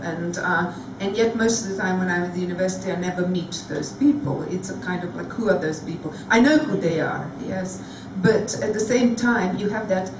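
A woman's voice: on the low side, recorded from a distance, noisy background. A woman with a Belgrade Serbian accent speaking frankly and reflectively on a discussion panel. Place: large theatre stage before an audience. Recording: echoey hall recorded from afar.

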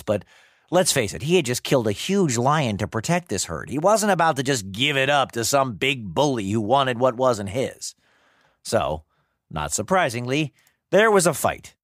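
A man's voice: on the high side, a bit bright, no echo, clean studio sound. A man telling a scripted story for children in a conversational, dramatic way with wry, casual asides. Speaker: storyteller, podcast host.

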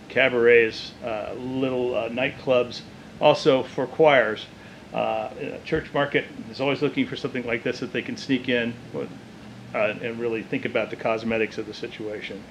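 A man's voice: medium pitch, slight background noise, low-quality recording. A man gives an informal, matter-of-fact product demonstration as a distributor. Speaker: loudspeaker distributor presenting products. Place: indoor demo room with small stage.

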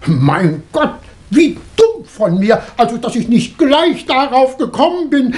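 An actor playing a self-assured, excitable male doctor, speaking emphatically in 1960s German radio drama style. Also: very high voice, unhurried, full character voice. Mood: excited, certain. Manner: emphatic, expressive. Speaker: actor in a crime radio play.

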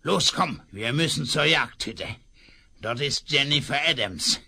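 A man's voice: mid-range voice, expressive, a little slow, bright, forceful tone, full character voice. An actor playing a ruthless, scheming male outlaw boss in a 1970s radio play, snarling threats. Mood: urgent.

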